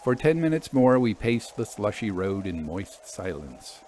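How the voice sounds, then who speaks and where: A man reading scripted narration as a storytelling host, expressive and deliberate. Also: on the low side, a little hiss or hum.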